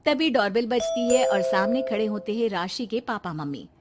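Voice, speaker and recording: A woman's voice: on the high side, lively intonation, moderate pace, over loud music. A woman giving scripted narration for a cartoon moral story.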